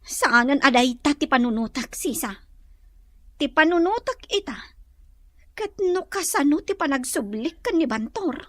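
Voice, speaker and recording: A woman's voice: high voice, animated, unhurried, a bit bright, no echo, studio quality. A woman acting a troubled, scheming young wife, plaintive and brooding, in a radio drama. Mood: upset, brooding.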